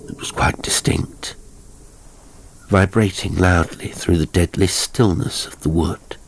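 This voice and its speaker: A man reading a horror audiobook as narrator, with measured, suspenseful and deliberate delivery. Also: low-pitched, fairly even intonation, a little hiss or hum.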